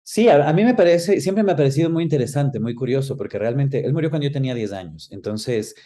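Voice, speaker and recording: A middle-aged man with an Ecuadorian accent, reminiscing conversationally as an interview guest and pausing to search for words. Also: medium pitch, expressive, warm tone. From Quito, Ecuador.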